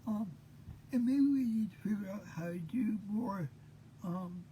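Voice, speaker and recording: A man in his fifties hosting an unscripted monologue, talking haltingly with many pauses, tired and short of breath. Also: high-pitched, mellow, a little hiss or hum, poor audio. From Maine. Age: 50s. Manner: halting, full of pauses and fillers. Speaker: host.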